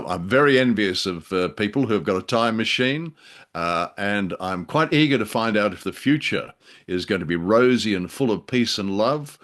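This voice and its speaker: A male co-host talks in a relaxed podcast chat style. His voice is low and his phrasing is a bit hesitant. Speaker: show co-host.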